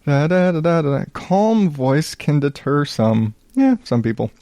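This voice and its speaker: A man hosting a solo podcast in a smooth voice, chatting casually in a low-key, rambling, self-deprecating way with some swearing. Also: big pitch swings, unhurried, dark tone, dry recording, studio quality. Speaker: podcast host.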